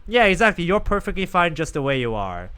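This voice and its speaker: A man's voice: on the high side, very animated, a little fast, pushed and bright, clear, resonant tone. A man live streaming a video game, reading dialogue and reacting in a rambling way, often repeating himself.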